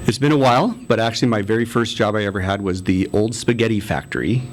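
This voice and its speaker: Man, 40s, low voice, friendly, lots of ums, interview guest, faint rumble. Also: fast talker. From United States. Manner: friendly, many ums and uhs, laughs easily. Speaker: interview guest, city council candidate. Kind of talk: casual interview conversation.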